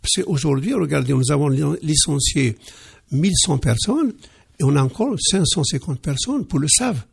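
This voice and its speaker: Husky gravelly-voiced man, hesitant. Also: on the high side, animated, normal speed. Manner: hesitant, repeats words, emphatic. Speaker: interview guest, car dealer. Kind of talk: radio interview.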